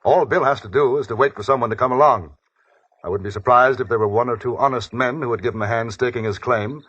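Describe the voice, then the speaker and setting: An actor playing a cool, gentlemanly frontier adventurer in an old-time radio western, speaking with formal, understated irony in a husky voice. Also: male voice, mid-range voice, quick pace. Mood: wryly defiant. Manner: formal, understated, dryly ironic. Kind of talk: old-time radio western acting and first-person narration. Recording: dull, lo-fi old radio recording.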